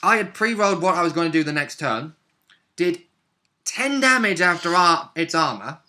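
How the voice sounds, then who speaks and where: Very bright-voiced man recapping a tabletop game. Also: a little high, expressive, moderate pace. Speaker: tabletop role-play player recounting a session. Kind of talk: casual chat recap of a game session.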